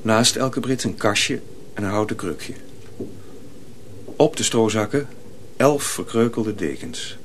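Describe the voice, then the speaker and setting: A man narrating a scripted story dramatically, with a deliberate and suspenseful delivery. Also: on the low side, slight background noise.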